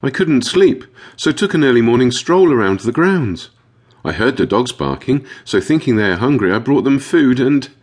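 A man's voice: medium pitch, animated, normal speed, slightly rough, pitched above the performer's own voice. A man voicing an anxious, overwrought woman who runs a dog kennel, in a dramatic and fretful audiobook manner. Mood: upset. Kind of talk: audiobook character voice.

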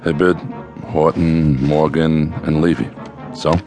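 An actor playing a steady, perceptive army captain in a radio war drama, plain-spoken and probing. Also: male voice, a bass voice, takes it slowly, music in the background, exaggerated voice. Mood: concerned, probing.

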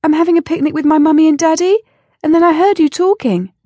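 A woman voicing a polite, eager little girl who talks sweetly and quickly. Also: high voice, warm tone, no room sound, voice pushed up, lightly acted. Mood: friendly.

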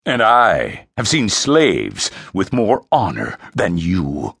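A man voicing a cold, commanding old king who speaks slowly and sternly to his son. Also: sits low, huge pitch swings, vocal fry, fairly bright tone, husky, no room sound, clean studio sound, exaggerated voice. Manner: slow, measured, commanding.

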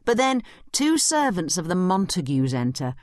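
Female educational narrator, scripted commentary. Expressive range, explanatory manner, dry studio-close sound. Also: on the low side, moderate pace, dry recording. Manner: explanatory, clearly spelling out points. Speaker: study guide narrator and commentator.

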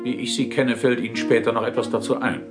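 A man's voice: medium pitch, fairly even intonation, quick pace, loud music behind the voice, close to an everyday voice. An actor playing a wry, tough male detective who questions and narrates with dry assertiveness in a German audio drama. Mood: stern. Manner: probing, dryly assertive.

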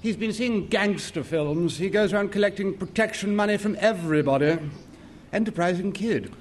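An actor playing a genial, eager young upper-class British country-house owner, hearty and excitable, in a comic audio drama. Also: male voice, high-pitched, moderate pace, slight background noise, close to an everyday voice. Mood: confiding.

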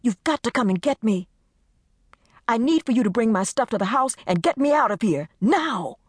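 Frantic young woman on the phone, played by a female narrator, pitched high and pushed loud, pleading and demanding in a storybook reading. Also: expressive, moderate pace, husky, no room sound, clean studio sound. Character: panicked young woman, frantic best friend.

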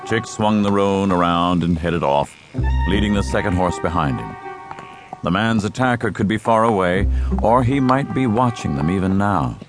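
A man with a very low voice narrates a western story. He reads scripted prose in a measured, dramatic storytelling way, with clear music beneath him. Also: lively intonation. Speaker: audiobook narrator.

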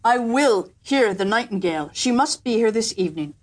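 A woman's voice: on the high side, animated, moderate pace. A woman voicing a proud, commanding emperor in a storybook reading, declaiming imperiously.

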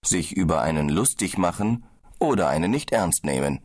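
Deep guy, calm explainer, scripted audiobook chat, a bit tired. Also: normal speed, breathy edge. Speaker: business traveller in a language-course dialogue.

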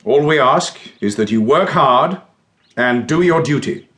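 A man's voice: mid-range voice, expressive, a little slow, fairly bright tone. An actor playing a stern, formal middle-aged baronet and father in a period audio drama, with an authoritative manner. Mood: formal, measured.

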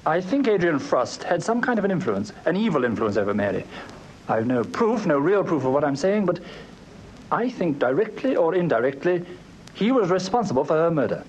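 An actor playing an earnest, nervous young suitor in a British radio drama, hesitant and deferential, with Received Pronunciation. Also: male voice, a little high, big pitch swings, brisk, a little mellow, a little hiss or hum, low-quality recording. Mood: earnest, aggrieved. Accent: English Received Pronunciation. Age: young adult.

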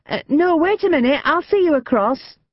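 A woman's voice: lowish voice, big pitch swings, normal speed, dry recording, muffled recording. A woman voicing a kind, plain-spoken woman in her thirties in a storybook reading. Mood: kindly. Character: warm-hearted working woman in her thirties.

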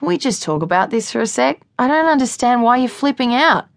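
A woman's voice: fairly high pitch, expressive, fairly quick, creaky, dry recording, clean studio sound, pitched above the performer's own voice. A woman doing a careless, oblivious young man. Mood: baffled. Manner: casual rambling, baffled.

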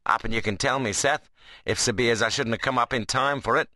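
A man's voice: mid-range voice, a little fast, bright, forceful tone, no room sound, close to an everyday voice. A man voicing a wiry, sarcastic young workman in an audiobook novel, mocking and needling in a dialect. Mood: teasing, sarcastic.

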